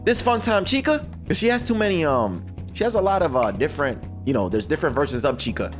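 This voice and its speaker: A young man hosting a reaction video in casual, slangy chat, animated and repeating himself when excited. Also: a little high, fast talker, faint music behind.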